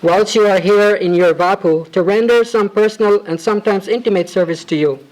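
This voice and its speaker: Man, high-voiced, formal devotional tribute reading, ornate and measured. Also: a little mellow, rough recording. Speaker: disciple reading a homage.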